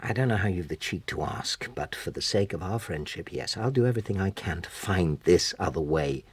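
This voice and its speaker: A forceful British husband and father in a radio drama, middle-aged and exasperated. His voice is lowish and swings widely as he insists, bluntly, on being heard. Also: animated, brisk, breathy edge. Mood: grudging, firm. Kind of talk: naturalistic radio drama acting.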